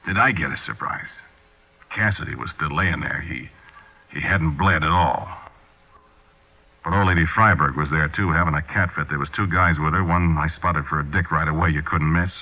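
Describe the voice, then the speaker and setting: A man's voice: very deep voice, normal speed, long pauses. An actor with an American accent playing a cold-blooded small-time crook, telling his story in tough, confiding slang in 1940s radio drama style. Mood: matter-of-fact, confiding. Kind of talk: 1940s radio drama first-person narration. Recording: muffled 1940s radio recording.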